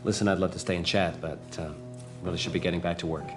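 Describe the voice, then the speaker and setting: A man playing an earnest 1940s investigator in a video game, a little awkward and hesitant, quick to exasperation. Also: low-pitched, steady tone, over music, close to an everyday voice. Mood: friendly, awkward. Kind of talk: video game noir dialogue acting.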